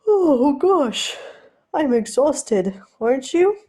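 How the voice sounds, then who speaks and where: A woman voicing a flustered, fussily polite gentleman sailor who babbles hesitantly in an audiobook story. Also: high-pitched, big pitch swings, extremely slow, pitched above the performer's own voice, heavily acted. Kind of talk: audiobook character voice.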